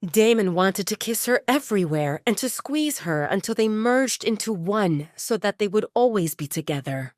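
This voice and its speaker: Female romance audio drama narrator: lively, wide-ranging intonation, scripted storytelling, dry studio-like sound. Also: medium pitch, moderate pace, hardly any pauses, no room sound.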